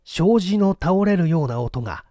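A man narrating a mystery novel as an audiobook, in a measured storytelling manner. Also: on the high side, expressive, mellow, no room sound, clean studio sound. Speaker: audiobook narrator, small-theatre stage actor. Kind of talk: scripted narration, mystery novel read aloud.

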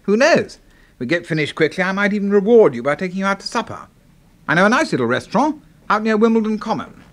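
A man's voice: high voice, sing-song, quick pace, fairly bright tone. A man playing a jovial, chummy English doctor in a 1970s radio drama, with a British accent and a hearty, clipped manner. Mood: breezy. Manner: hearty, chuckling, clipped old-chap talk.

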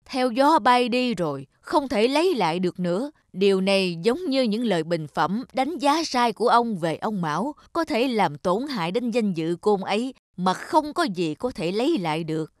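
An actor voicing a stern old-time magistrate in a cartoon folktale, commanding, formal and deliberate. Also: mid-range voice, very animated, no echo, studio quality, natural voice. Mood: solemn. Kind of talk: Vietnamese cartoon folktale dubbing.

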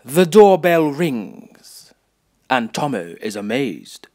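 A man playing a wry, taunting narrator who is a voice in someone's head, delivering deliberate scripted dramatic narration. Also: mid-range voice, sing-song, warm tone. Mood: mocking suspense. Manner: wry, deliberate, mocking storytelling.

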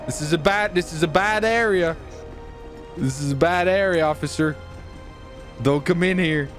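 An excitable man giving giggly gameplay roleplay commentary, often repeating himself. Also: on the high side, normal speed, music in the background. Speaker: gaming video creator.

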